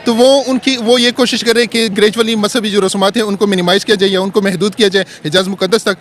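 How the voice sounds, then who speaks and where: A man giving his opinion in a street interview, halting and repeating words as he searches for them. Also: sits high, fairly even intonation, a bit bright, slight background noise. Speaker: member of the public being interviewed.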